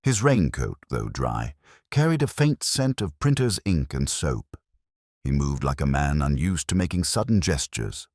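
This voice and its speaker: A man reading a scripted mystery story as narrator, in a wry, measured, literary manner. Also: extremely low pitch, sing-song, darkish tone, dry recording, clean studio sound.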